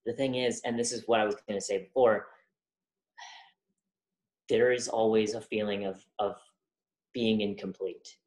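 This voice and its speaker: Deep-voiced young woman, echoey room. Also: fairly even intonation, a little mellow. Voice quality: deep voice from testosterone. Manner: halting, thoughtful, many fillers and restarts. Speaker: guest. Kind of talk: reflective interview conversation.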